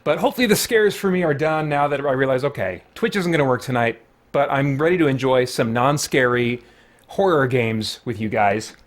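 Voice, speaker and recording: A man's voice: medium pitch, fairly quick. A man hosting a live stream with casual, rambling, self-deprecating chat.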